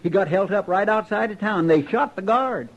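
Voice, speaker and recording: High-pitched anxious frontier helper. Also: male voice, normal speed. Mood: alarmed. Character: anxious, loyal marshal's helper in the Old West. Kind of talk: 1950s western radio drama acting. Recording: dull, lo-fi old radio recording.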